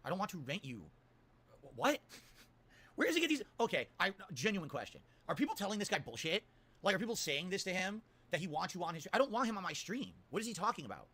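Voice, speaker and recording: A man's voice: high-pitched, sing-song, fast talker, pushed and bright, breathy edge. A man streaming, giving indignant commentary with an incredulous, profane manner. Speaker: streamer responding to a critic. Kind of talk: ranting commentary.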